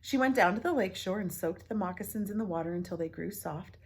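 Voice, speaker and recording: A woman teacher reading a picture book aloud to children, expressive, pausing for soft whispered asides. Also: medium pitch, husky.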